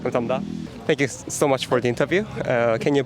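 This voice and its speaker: Male host interviewing passersby, mid-pitched, chatty, city street outdoors. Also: racing, over music. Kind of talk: casual street interview questions.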